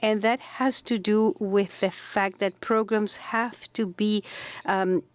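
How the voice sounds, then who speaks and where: A woman delegate making a measured, formal comment in a large conference hall. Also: medium pitch, steady tone. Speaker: civil society delegate.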